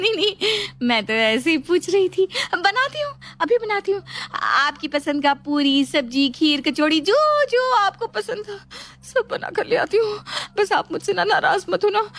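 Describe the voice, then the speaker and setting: Woman playing a squeaky, flustered young daughter-in-law. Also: sing-song, measured pace, fairly bright tone, exaggerated voice. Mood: nervous, obliging. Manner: stammering, flustered repetitions. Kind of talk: Hindi moral storybook drama.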